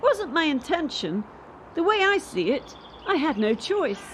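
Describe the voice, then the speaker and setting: A woman's voice: high-pitched, big pitch swings, a little slow, a little hiss or hum. A young woman playing a defiant gymnast in a radio play, talking in a frank, casual, resolute way. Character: defiant young female gymnast quitting the sport.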